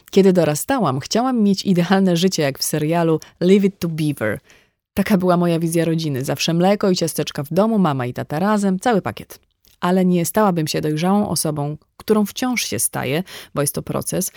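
A woman's voice: on the low side, a little mellow, dry recording, studio quality. A woman reading a scripted audiobook dialogue as a narrator. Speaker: audiobook reader.

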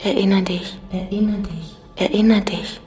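An actress playing a haunting female spirit in a poetic radio play, breathy and whispery, slow and incantatory. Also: mid-range voice, level tone, mellow, faint music behind. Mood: whispered, urging. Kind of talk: poetic audio drama monologue.

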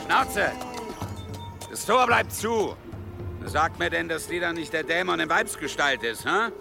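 A man's voice: a little high, animated, measured pace, vocal fry, over music, heavily acted. A man playing a coarse, mocking medieval crossbow guard in a radio drama, sneering and vulgar. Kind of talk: German radio drama acting.

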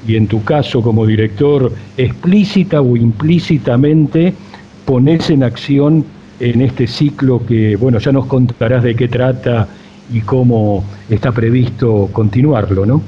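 Unhurried male radio interviewer. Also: mid-range voice. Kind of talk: reflective, wordy interview questioning. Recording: slightly dull radio sound, faint noise.

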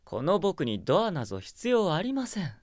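A man's voice: high voice, huge pitch swings, normal speed, dry recording, studio quality, exaggerated voice. An actor playing a smug young male sorcerer with a mockingly polite, theatrical manner in a radio drama.

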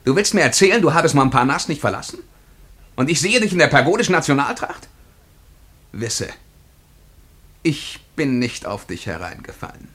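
An actor playing a small, scheming, scornful time traveller in a radio play, with a sharp voice and pompous, mocking declamation. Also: male voice, medium pitch. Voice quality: sharp, husky.

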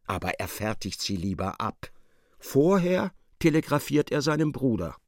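Casting: articulate man for literary radio-play narration, lively and expressive, dry close-miked studio delivery. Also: medium pitch, moderate pace, no echo. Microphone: close studio microphone.

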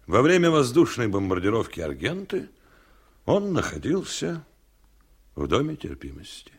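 An actor in a radio play playing a relentless reporter, questioning in a deliberate, insistent, cutting way. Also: male voice, medium pitch, huge pitch swings, breathy edge, full character voice. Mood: cold, pressing. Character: relentless, pointed reporter pressing a politician.

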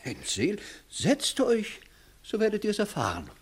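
A husky court clerk, with extremely animated pitch. He sounds dismissive and slyly evasive, in the acting style of a 1960s radio comedy. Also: measured pace, exaggerated voice. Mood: feigned indifference. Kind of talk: 1960s German-language radio play, classical comedy acting.

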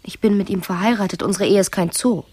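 An actress playing a troubled but determined wife in a German radio play, soft, intimate and pointed. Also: female voice, medium pitch, fast, slightly rough, no room sound, close to an everyday voice. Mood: quietly defiant.

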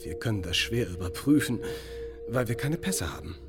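Smug evasive mayor guy male actor, radio play, super animated official speechifying, music under him. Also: on the low side, normal speed, close to an everyday voice. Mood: evasive, bland. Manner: oratorical, unctuous, blandly bureaucratic. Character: evasive, self-satisfied small-town mayor of a seaside resort. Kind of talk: German radio drama acting. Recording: long hall reverb, music underneath.

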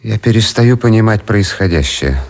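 A grave, honorable officer who has lost his illusions. The voice is very deep, with an earnest, measured, rhetorical delivery suited to radio drama. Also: lively intonation, gravelly. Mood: troubled, indignant. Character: disillusioned, principled military officer, grave and honorable. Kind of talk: radio play acting.

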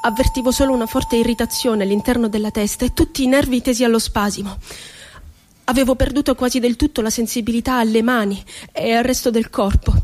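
An actress playing a bitterly ironic psychiatric inmate in a radio theatre monologue, telling her story in a deliberate, dramatic way. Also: fairly high pitch, a little mellow, lightly acted. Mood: frightened, anguished. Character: woman psychiatric inmate recounting asylum horrors, bitterly ironic. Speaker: stage actress.

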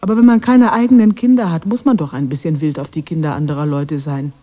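A woman's voice: low-pitched, fairly even intonation, fast talker, dark tone, lo-fi sound. An actress playing a lonely, misunderstood witch of the woods in a radio drama, defending herself in a plaintive way. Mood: self-justifying. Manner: plaintive, self-justifying.

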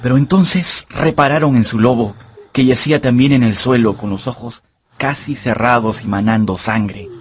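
An actor narrating an adventure novel as a storyteller in a dramatized radio audiobook. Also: male voice, mid-range voice, normal speed, a little hiss or hum, lightly acted. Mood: grave storytelling.

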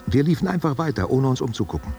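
An actor playing a guilt-haunted war veteran confessing to his lover in a halting, self-questioning monologue. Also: medium pitch, big pitch swings, loud music behind the voice. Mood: grim, remembering. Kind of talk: literary audio drama monologue.

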